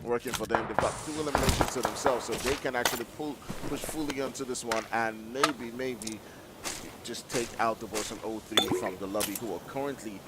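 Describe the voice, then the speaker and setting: A man with an African English accent giving live play-by-play commentary on an esports match, with steady fillers as he calls the action. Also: mid-range voice, heavy background noise. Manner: rapid excited calls with filler "you know". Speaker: esports caster. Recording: game gunfire and vehicle sounds behind.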